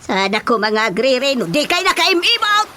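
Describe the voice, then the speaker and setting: A woman's voice: high-pitched, sing-song, moderate pace, pushed and bright, slight background noise, heavily acted. A woman acting a quarrelsome, scolding neighbour in an Ilocano radio comedy, with an Ilocano accent.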